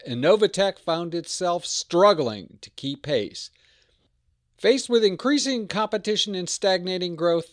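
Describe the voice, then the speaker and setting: A man's voice: a little high, no room sound, studio quality. A man hosting a podcast, giving an introduction and credits in an emphatic, phrase-by-phrase delivery. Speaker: podcast host.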